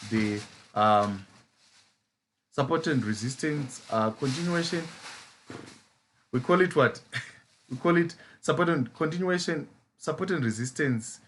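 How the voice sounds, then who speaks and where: A man's voice: on the high side, fairly bright tone, a little hiss or hum. A man from Zimbabwe with a Zimbabwean English accent, a trading mentor who explains chart patterns in a live lesson and keeps asking rhetorical "as a what?" questions.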